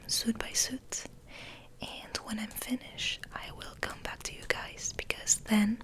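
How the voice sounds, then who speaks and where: A woman hosting ASMR in a soft, gentle whispered ramble, hushed and unhurried, sometimes softly repeating words. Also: on the high side, low-quality recording. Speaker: ASMR host.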